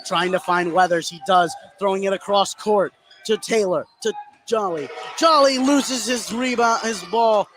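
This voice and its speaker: A man doing excitable live play-by-play of high school basketball in short running phrases. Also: measured pace, a little hiss or hum. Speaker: play-by-play sports commentator.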